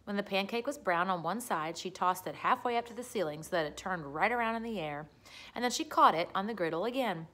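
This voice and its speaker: A woman's voice: medium pitch, lively intonation, brisk, fairly bright tone. Woman reading a children's story aloud. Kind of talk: read-aloud story narration.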